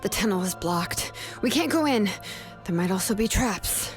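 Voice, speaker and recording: A woman voicing a sharp, cool young female investigator in a video game, speaking in a probing, firm and deliberate way. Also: mid-range voice, animated, natural voice. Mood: focused. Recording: studio voice over game music. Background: background music.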